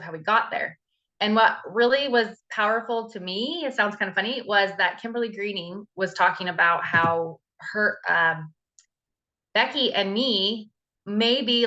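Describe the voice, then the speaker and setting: Earnest woman team leader, bright tone. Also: mid-range voice, lo-fi sound. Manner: earnest, encouraging, many fillers. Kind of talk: motivational talk on a video call.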